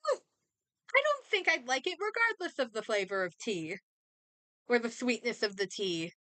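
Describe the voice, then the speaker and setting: A woman doing casual game stream commentary, reading aloud and reacting in a halting, think-out-loud way. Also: a little high, very animated, no room sound.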